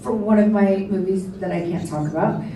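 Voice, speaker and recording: An actress on a convention panel reflecting earnestly on auditions and acting work. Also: female voice, mid-range voice, a little fast, dark tone, slight background noise. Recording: echoey hall from far off.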